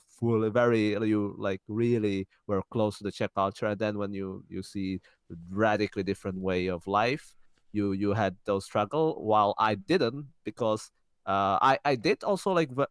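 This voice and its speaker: A young man co-hosting a podcast, speaking casually in halting sentences with many restarts and fillers. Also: on the low side, smooth, no echo, clean studio sound. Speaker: podcast co-host. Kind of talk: casual conversational chat.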